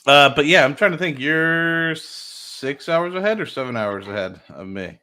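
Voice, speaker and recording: A man's voice: on the high side, expressive, normal speed, sharp tone, clean tone. A man chatting casually on a livestream about a launch, rambling with lots of fillers and correcting himself. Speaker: launch commentary streamer.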